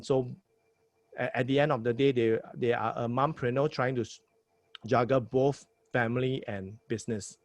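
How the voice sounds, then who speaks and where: A man's voice: medium pitch, no room sound. Singaporean man giving emphatic advice. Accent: Singaporean English. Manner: emphatic, repeats words, tag questions like "right?". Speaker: podcast host advising a new host. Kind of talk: casual advice chat.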